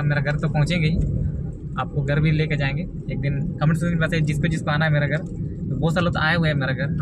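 A young man talks chattily to his viewers while driving through the countryside. His voice sits a little high, and he speaks in loose, rambling sentences. The phone recording carries heavy engine and road noise throughout. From Jharkhand, India. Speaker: travel vlogger. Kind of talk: casual driving vlog. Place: inside a car driving on country roads. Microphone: handheld phone camera.

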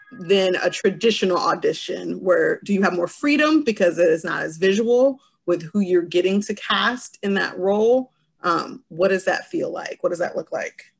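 A woman's voice: on the low side, gravelly, husky. A middle-aged woman moderating an online panel in a conversational, emphatic way with joking asides. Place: small room at home, video call.

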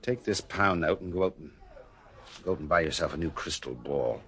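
An actor playing a wisecracking private detective, with a dry, sardonic way of talking, in old-style radio drama acting. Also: male voice, low-pitched, fairly quick, bright, forceful tone. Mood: wry.

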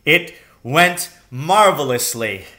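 Man acting daring poacher father, whispery and breathy. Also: a little high, lively intonation, takes it slowly, sharp tone, exaggerated voice. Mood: exhilarated. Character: daring father, a thrilled poacher, hushed and commanding.

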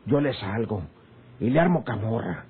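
An actor with a northern Mexican accent playing a sly, quarrelsome, rustic ranch hand in a radio drama, his voice husky. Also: medium pitch, expressive, normal speed. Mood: scheming. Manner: rustic, colloquial. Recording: muffled old radio serial recording.

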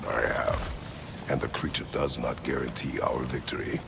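An actor playing a stoic, battle-weary warrior father in a video game, with a gravelly voice and a terse, grave manner. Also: flat delivery, a little slow, background noise, exaggerated voice. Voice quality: very deep, gravelly. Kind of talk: video game drama acting. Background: loud dramatic music.

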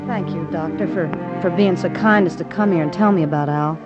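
A woman's voice: lowish voice, fairly quick, lightly acted. Woman as composed radio-drama widow, muffled recording. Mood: subdued, composed. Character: soft-spoken, composed newly widowed wife. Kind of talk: 1950s radio acting. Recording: dull old radio recording. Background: music.

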